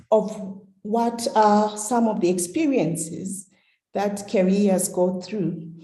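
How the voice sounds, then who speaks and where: A middle-aged woman from Zimbabwe telling her story in a measured, storytelling way on a webinar. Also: fairly high pitch, mellow, roomy sound, poor audio. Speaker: hemophilia carrier sharing her story. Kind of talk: webinar talk.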